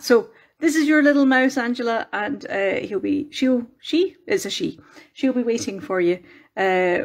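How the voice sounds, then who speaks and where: A Scottish woman hosting a casual crafting live stream on her phone, rambling and self-deprecating, her voice a little croaky from singing the night before. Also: mid-range voice. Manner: chatty, rambling, self-deprecating, many restarts.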